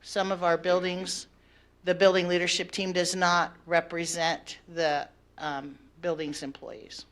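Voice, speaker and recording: A woman from the teachers' union with a naturally loud voice, presenting to a school board at a podium microphone and talking partly off the cuff with fillers. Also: on the low side, level tone, unhurried. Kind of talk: semi-prepared public presentation. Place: board of education meeting room.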